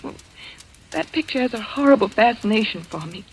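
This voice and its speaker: A high-pitched, fearful young woman in an old radio thriller. She is breathless and halting, full of melodramatic dread. The recording is noisy. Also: lively intonation. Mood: uneasy dread. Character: terrified, nervous young woman haunted by nightmares. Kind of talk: old-time radio drama acting.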